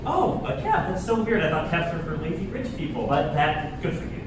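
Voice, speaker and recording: A man's voice: fairly high pitch, big pitch swings, a little fast. An actor playing a stubborn, sarcastic, meddling young man in a staged reading of a comedy, pointed and mock-enthusiastic. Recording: far-off echoey room, heavy noise.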